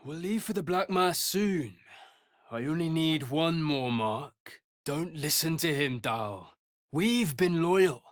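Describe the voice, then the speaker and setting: A man's voice: on the high side, expressive, slow, no echo, studio quality. An actor playing a bitter, arrogant male vampire spawn with a sneering, theatrical delivery in a video game. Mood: bitter, defiant. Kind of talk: dramatic video game voice acting.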